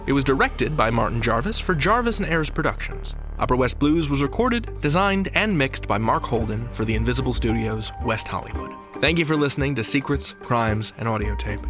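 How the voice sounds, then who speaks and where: A man hosting a podcast, speaking in clear scripted presenter style. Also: mid-range voice. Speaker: podcast host. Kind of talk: podcast interview and scripted sign-off.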